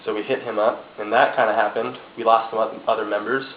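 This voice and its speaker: A man in his twenties, a bass player, chatting casually and jokingly in a group interview. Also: level tone, fast. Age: 20s. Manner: laid-back, joking, rambling. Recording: echoey room, dull lo-fi sound, noisy.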